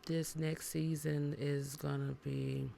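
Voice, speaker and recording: A woman singer, a guest on a podcast, talking in a relaxed, chatty way and laughing easily. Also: deep voice, slow, vocal fry, slight background noise. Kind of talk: casual interview chat.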